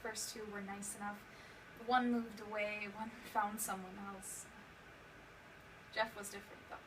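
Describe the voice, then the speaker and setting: A woman acting a haunted young survivor of abuse in a dramatic stage monologue, halting and emotional, repeating words for emphasis. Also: medium pitch, level tone, natural voice. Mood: wistful, subdued. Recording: distant mic, clearly noisy room recording.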